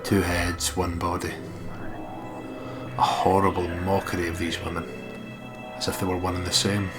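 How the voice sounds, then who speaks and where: Low-voiced man narrating over music. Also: little pitch movement, normal speed. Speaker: let's play narrator and commentator. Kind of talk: reading game narration aloud, with casual asides. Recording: music behind the voice. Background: game music.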